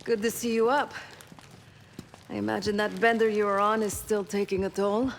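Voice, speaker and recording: A woman's voice: mid-range voice, flat delivery, moderate pace, close to an everyday voice. Woman voicing blunt tough lady operative in a game cutscene, guarded and intense, faint noise behind. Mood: dry. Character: guarded, tough, grieving female covert operative. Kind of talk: video game cinematic acting.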